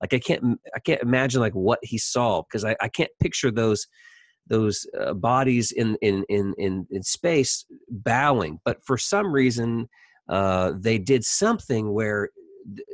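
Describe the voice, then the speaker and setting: Male Bible story teacher, fairly low pitch, very dry recording. Conversational commentary, reasoning out loud as he retells. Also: dry recording. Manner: conversational, thinking aloud, acting out imagined dialogue.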